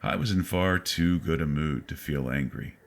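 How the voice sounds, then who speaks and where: A man narrating a horror story in the first person, with deliberate, suspenseful delivery and dramatic pauses. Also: extremely low pitch, creaky.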